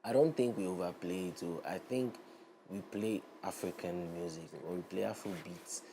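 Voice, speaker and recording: A fairly low-voiced young man from Ghana, chatting as an Afrobeats artist in an interview. He is loose and relaxed and picks up energy as he talks. Steady background noise sits clearly under his voice. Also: normal speed. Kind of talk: casual interview chat.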